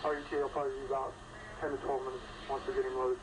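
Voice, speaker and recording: Man acting as ambulance medic, noisy radio audio, flat businesslike delivery in clipped procedural phrases. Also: medium pitch, racing, lightly acted. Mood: businesslike, focused.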